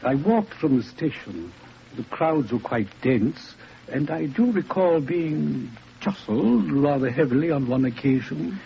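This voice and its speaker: A man's voice: fairly high pitch, animated, a little slow, creaky. Elderly, fussy, dignified clergyman. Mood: fussy, resigned. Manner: hesitant, fussy, clipped phrases. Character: dignified elderly English clergyman, mild and regretful. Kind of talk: 1940s radio acting. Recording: muffled noisy 1940s radio recording.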